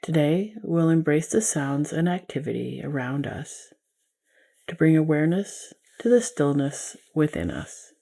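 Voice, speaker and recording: Slow, low-voiced woman guiding meditation. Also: big pitch swings, vocal fry. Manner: soothing, unhurried, gentle instruction. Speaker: guided meditation teacher. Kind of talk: guided yoga nidra meditation.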